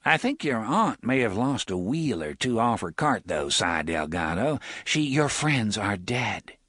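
A man's voice: on the low side, big pitch swings, unhurried, fairly bright tone, dry recording, clean studio sound, heavily acted. A man voicing a blunt, defiant young woman.

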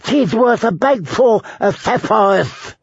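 A man's voice: high voice, expressive, slow pace, gravelly, slightly rough, dry recording, lo-fi sound, pitched above the performer's own voice, heavily acted. A man voicing an imperious, furious mercenary lord in an audiobook, spitting out his orders. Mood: furious, commanding.

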